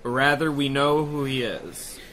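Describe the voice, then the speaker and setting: A man's voice: medium pitch, steady tone, unhurried, fairly bright tone, natural voice. An actor playing a self-assured male police commissioner, in 1930s-style radio drama acting. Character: confident male police commissioner, brisk and sure.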